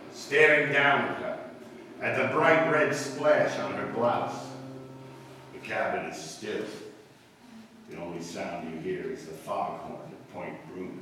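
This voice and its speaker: A man acting as the ominous narrator of a 1940s-style radio crime play, measured and dramatic. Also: deep voice. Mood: grim suspense. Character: ominous, knowing male narrator of a crime story. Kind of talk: 1940s radio suspense narration, second person. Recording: distant, echoey hall, background noise.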